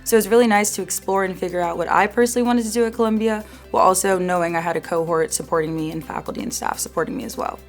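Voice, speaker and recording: A woman's voice: on the low side, racing, hardly any pauses, faint music behind. A young woman in her twenties, a graduate student speaking casually and reflectively in an upbeat interview testimonial. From United States.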